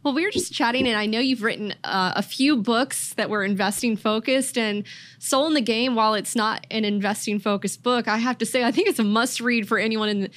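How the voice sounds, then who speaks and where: A woman's voice: fairly high pitch, brisk, fairly bright tone. A woman hosting an interview show, reading an upbeat intro and then asking long questions filled with "um" and "you know". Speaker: podcast host.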